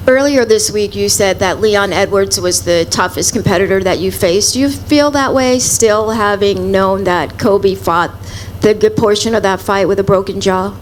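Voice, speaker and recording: A woman's voice: medium pitch, normal speed, a little hiss or hum, rough recording. Woman reporter with a direct question. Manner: direct, concise. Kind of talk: press question. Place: press conference room.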